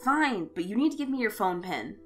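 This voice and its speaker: A woman's voice: mid-range voice, very animated, fairly quick, faint music behind, natural voice. A woman voicing a surly, flustered young male college student who talks casually and defensively in an audio story.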